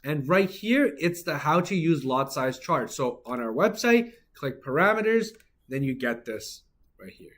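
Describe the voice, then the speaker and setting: A man's voice: fairly high pitch, lively intonation, measured pace, a bit bright. A man hosting an informal trading livestream, hyping with catchphrases and answering viewer comments as he goes. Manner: hype-driven, informal, repeats catchphrases. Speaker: livestream host, trader. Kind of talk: live-stream sales pitch and chat replies. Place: office.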